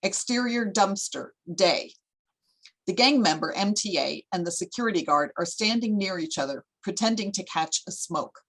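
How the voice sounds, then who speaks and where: A woman's voice: mid-range voice, animated, normal speed, a bit bright, low-quality recording. A woman reading screenplay stage directions as the narrator of a table read.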